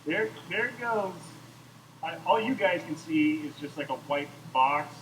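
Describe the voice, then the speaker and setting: A high-pitched, excitable sock puppet host, played by a man, whining and exclaiming through improvised comedy. The voice swoops up and down, caught from a distance in an echoey room. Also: a little slow. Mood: excited, flustered. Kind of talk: improvised comic puppet banter. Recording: roomy echo, distant mic, faint noise.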